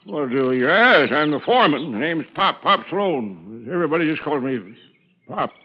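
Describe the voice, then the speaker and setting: A man's voice: on the high side, sing-song, moderate pace, a little hiss or hum. An actor playing a talkative, folksy older male lodge foreman with a rustic drawl, in a 1950s radio drama. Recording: dull old radio broadcast.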